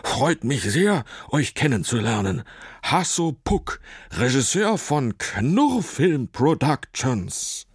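A man voicing an excitable, friendly male dog who directs pirate films, with a panting, barking enthusiasm, in a children's audio story. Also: mid-range voice, slow pace, slightly rough, no echo, clean studio sound, voice pushed up, full character voice. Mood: friendly, excited.

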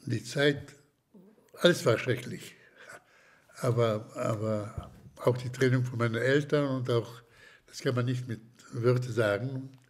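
An older man from Slovakia, giving slow, hesitant testimony in an interview with many pauses and restarts. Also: medium pitch, fairly even intonation. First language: German as childhood language, long unused.

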